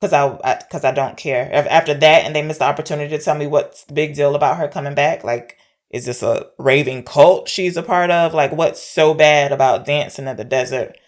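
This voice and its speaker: A woman's voice: deep voice, a little fast. A woman podcast co-host chatting casually and candidly as she recaps a film, often posing questions. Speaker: podcast co-host discussing films.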